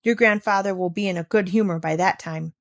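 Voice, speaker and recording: A woman voicing a brisk, impatient aunt who bosses and coaxes in a storybook. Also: fairly low pitch, dry recording, clean studio sound, close to an everyday voice. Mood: hurried, coaxing. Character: brisk, bossy, impatient adult aunt, coaxing a child.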